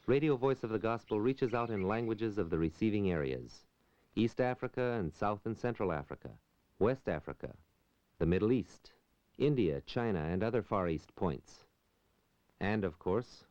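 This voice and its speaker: A man's voice: fairly low pitch, expressive, normal speed, darkish tone, dry recording. A man reading scripted documentary narration, recorded on a muffled 1970s film soundtrack.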